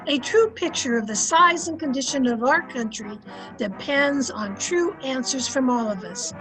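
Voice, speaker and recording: A woman's voice: high-pitched, expressive, moderate pace, music in the background, low-quality recording. A woman playing a patient, sensible, dryly teasing middle-aged wife in a recreated 1950s radio comedy. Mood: measured, wry.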